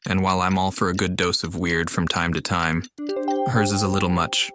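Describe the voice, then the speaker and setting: A man's voice: deep voice, level tone, a little fast. A man talking casually and frankly, giving his own opinions as he plays a video game. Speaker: game player commenting. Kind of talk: casual gameplay commentary. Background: game music.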